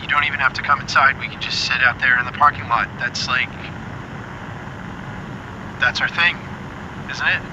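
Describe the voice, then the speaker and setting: A man's voice: medium pitch, steady tone, moderate pace, long pauses. An actor playing a wounded, medicated, needy man in an audio drama, blunt and breathily pleading over a call. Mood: vulnerable, pleading. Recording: muffled, noisy call with engine drone.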